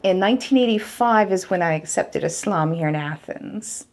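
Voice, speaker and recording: A woman's voice: fairly low pitch, normal speed, a little mellow. A middle-aged American woman speaking in an earnest, plainspoken way in an interview, with light laughs. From United States. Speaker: local businesswoman. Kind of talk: interview testimony.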